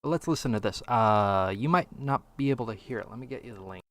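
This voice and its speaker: A man's voice: mid-range voice, lively intonation, fast. A man hosting a podcast, chatting casually and offering commentary into a streaming microphone. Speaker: podcast host.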